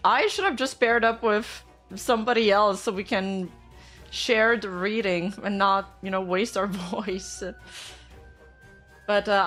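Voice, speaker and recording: A woman with a somewhat rough, hoarse voice, chatting informally as she hosts a livestream. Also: medium pitch, a bit bright. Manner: informal, giggly. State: dry, hoarse voice. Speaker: livestream host. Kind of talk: casual chat to livestream viewers.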